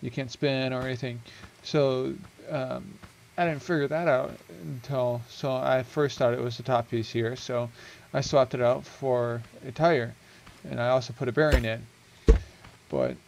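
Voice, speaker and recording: Gravelly young man explaining a game build. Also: mid-range voice, fairly even intonation, measured pace, slight background noise, rough recording. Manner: rambling, self-correcting, chatty with many ums. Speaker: gaming video creator. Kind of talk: casual game build walkthrough. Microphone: headset.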